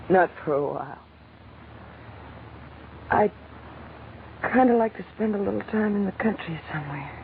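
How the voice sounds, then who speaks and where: An actress in a 1940s radio drama playing a weary, wistful young nightclub woman who speaks haltingly. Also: medium pitch, expressive, gravelly. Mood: hopeful. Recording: muffled, noisy old radio broadcast recording.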